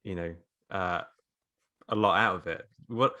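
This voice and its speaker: A man's voice: low-pitched, measured pace, bright, forceful tone. A man who teaches writing, speaking casually as a guest in a podcast conversation.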